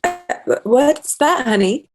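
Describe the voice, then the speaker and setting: Woman playing a prim 50s housewife, high voice, slow and sugary. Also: lively intonation. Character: prim, old-fashioned American housewife. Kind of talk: table read of a screenplay.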